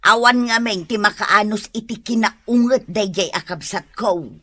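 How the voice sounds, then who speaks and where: A woman's voice: mid-range voice, a little slow, sharp tone. A woman playing a middle-aged, chatty, exclamatory mother with an Ilocano Filipino accent in a comedy radio drama. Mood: exasperated. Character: middle-aged gossipy mother, exasperated and animated.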